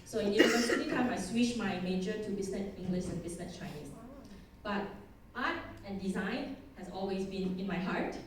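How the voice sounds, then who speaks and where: A woman's voice: mid-range voice, fairly quick, a crowd in the background. Woman, Thai accent, chatty and self-deprecating, heard from a distance. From Thailand. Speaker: conference speaker. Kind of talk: conference talk. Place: conference hall. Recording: echoey hall, distant mic.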